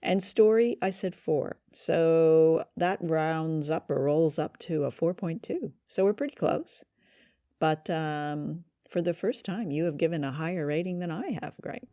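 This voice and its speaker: A woman's voice: sits low, unhurried, warm tone, dry recording, studio quality. A woman co-hosting a review show in casual, informative conversation. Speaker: co-host of an old-time radio review show.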